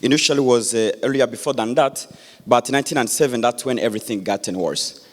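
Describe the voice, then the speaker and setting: A man, giving an earnest public speech with many pauses and restarts. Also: mid-range voice. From Democratic Republic of Congo. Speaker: guest speaker. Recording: echoey hall.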